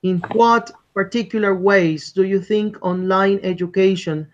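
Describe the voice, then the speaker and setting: Casting: man, fairly high voice, polite exam interviewer on a muffled video call. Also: steady tone. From Colombia. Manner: polite, measured, repeats instructions. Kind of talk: structured spoken exam interview. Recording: dull lo-fi call audio.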